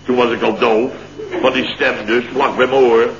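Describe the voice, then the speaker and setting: An actor playing a flustered, indignant man who tells a farcical tale of woe in halting, repetitive bursts, in a radio comedy. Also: mid-range voice, little pitch movement, creaky, a crowd in the background, close to an everyday voice. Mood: aggrieved. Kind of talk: comic radio play dialogue. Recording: dull, noisy early-1970s radio recording.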